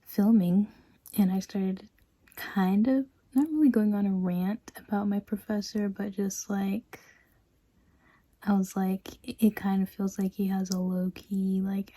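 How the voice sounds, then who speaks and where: A woman in her twenties chatting casually in a personal vlog, full of "like" and "um", sometimes dropping to a soft, hushed tone. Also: mid-range voice, no room sound. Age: 20s. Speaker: vlogger. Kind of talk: casual confessional vlog chat.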